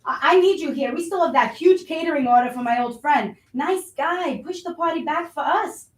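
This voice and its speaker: A woman's voice: high-pitched, moderate pace, natural voice. A woman playing a blustery, money-minded restaurant boss in a staged reading of a play. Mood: bossy, scolding. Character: pushy, money-minded restaurant boss, self-pitying and scheming. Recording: roomy, distant microphone.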